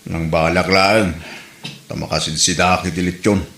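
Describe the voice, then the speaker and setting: A man's voice: low-pitched, steady tone, moderate pace, fairly bright tone, roomy sound. A man playing an exasperated, bickering husband and father in an Ilocano comedy drama. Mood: casual, grumbling.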